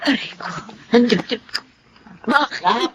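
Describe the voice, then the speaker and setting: A woman's voice: fairly high pitch, animated, a little slow, a bit bright, a little hiss or hum. An actress playing a taunting older woman in a TV drama who later clutches her chest in pain. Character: older woman, Rufina, rival neighbor with chest pains. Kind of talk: Filipino TV drama acting.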